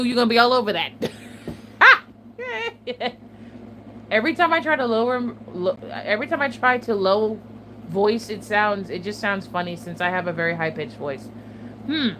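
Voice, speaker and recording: A woman vlogger chatting casually to her viewers, swearing often and laughing between remarks. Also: fairly low pitch. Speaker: channel host. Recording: steady background noise.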